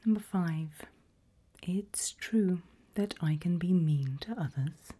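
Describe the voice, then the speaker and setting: Asmr lady whispering as a creepy polite hr interviewer, really slow and breathy, low soft murmurs. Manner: slow, deliberate, measured reading with pauses. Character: calm, politely sinister HR interviewer at an evil organisation. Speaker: ASMR roleplayer playing an HR interviewer. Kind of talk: ASMR roleplay, whispered and softly spoken.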